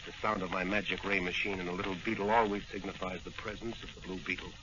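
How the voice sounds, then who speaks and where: Through a noisy, muffled old radio recording comes a man acting a masked crime fighter. His voice is low and commanding. He speaks in terse, tough orders in 1940s serial style. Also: flat delivery, fast, close to an everyday voice. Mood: stern, commanding.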